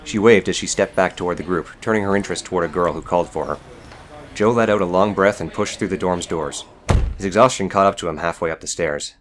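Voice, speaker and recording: A man's voice: sits low, fast. A man reading scripted fiction as the narrator of an audiobook.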